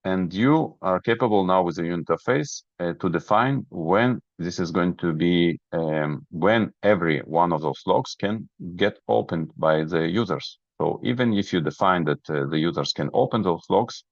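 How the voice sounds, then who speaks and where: A man who is a non-native English speaker presents a software webinar, explaining each step methodically. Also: sits low, expressive, normal speed, few pauses. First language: not English. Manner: methodical, step-by-step, checks understanding. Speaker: webinar presenter, product trainer. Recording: roomy, dull lo-fi webinar audio.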